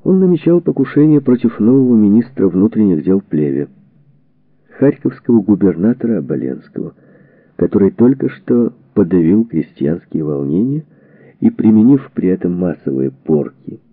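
A man reading a historical book as a scripted narration, in measured, deliberate phrases. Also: fairly low pitch, sing-song, dry recording. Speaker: audiobook narrator. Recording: narrow phone-like band, radio-like sound.

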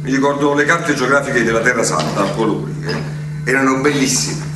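A man's voice: mid-range voice, fairly quick, loud music behind the voice, lightly acted. An actor playing a tired, grumpy male tramp with a sore foot, giving curt, sulky, mumbled replies. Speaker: stage actor. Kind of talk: stage theatre acting. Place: theatre stage. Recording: distant echoey stage recording.

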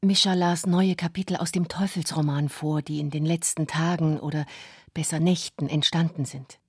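The voice of a writer's wife reading from her private diary for a radio documentary. She is an intimate witness, low in pitch and husky, recorded very dry. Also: steady tone, moderate pace, no echo. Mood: intimate recollection.

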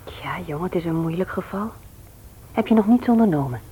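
A female radio play actor playing a sympathetic, inquisitive wife and mother in a gentle conversational manner. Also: on the low side, expressive, brisk, slightly rough, rough recording. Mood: concerned, quiet. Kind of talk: naturalistic Dutch radio drama acting.

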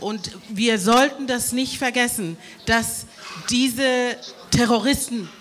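A woman's voice: fairly high pitch, slow pace, a bit bright, a little hiss or hum. A woman on a panel adding to another speaker's remarks, earnest and indignant. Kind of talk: public panel statement.

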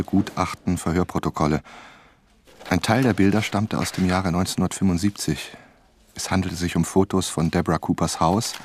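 An actor playing a sober novelist who narrates a crime radio play in scripted prose. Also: male voice, sits low, a little mellow, a little hiss or hum, natural voice. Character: sober male novelist recounting a murder case.

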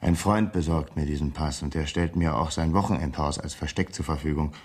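Deep-voiced, cold, menacing male blackmailer. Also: breathy edge. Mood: menacing, insistent. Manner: measured, threatening, insistent. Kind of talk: radio drama acting.

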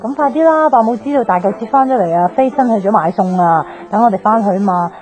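A woman's voice: mid-range voice, lively intonation, fast, darkish tone, highs cut off, natural voice. A woman playing a blunt, teasing, nosy young woman in a radio drama, with slangy banter and a Hong Kong accent. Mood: bantering, bustling. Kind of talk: 1990s radio drama acting. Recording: radio drama with music underneath.

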